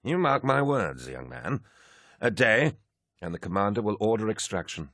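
A man's voice: lowish voice, very animated, normal speed, fairly bright tone, no room sound, studio quality, pitched above the performer's own voice. A man voicing an aging, pompous male officer who rambles and declares things with great self-importance. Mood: certain. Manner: blustering, declaring.

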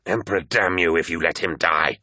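A man's voice: low voice, fairly even intonation, fairly quick, bright, forceful tone, no room sound, studio quality, exaggerated voice. A man voicing a ferocious, domineering warrior captain in an audiobook, snarling and hissing threats in fearful rage. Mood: menacing. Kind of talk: dramatised audiobook dialogue.

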